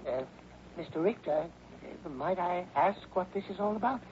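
A man's voice: high voice, animated, normal speed. An actor playing a meek, nervous middle-aged craftsman, hesitant and deferential, in a 1960s radio drama. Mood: uneasy. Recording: dull, noisy vintage radio recording.

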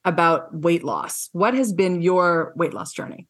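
A woman's voice: fairly low pitch, lively intonation, a little slow. Woman hosting a podcast, effusive and affirming. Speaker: podcast host. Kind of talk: scripted guest introduction, then casual interview chat.

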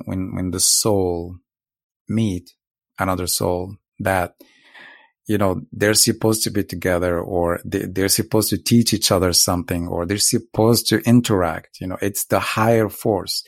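A man's voice: sits low, a little slow, creaky, no room sound, clean studio sound. A man telling stories casually in conversation as a podcast guest, in a reflective, philosophical manner. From Morocco.

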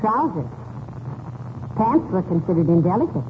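A woman's voice: on the low side, big pitch swings, normal speed. An actress playing a sardonic, sensible American wife who teasingly deflates her husband, in 1940s radio comedy style. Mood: wry, teasing. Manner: wry, teasing. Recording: noisy, tinny 1940s radio recording.

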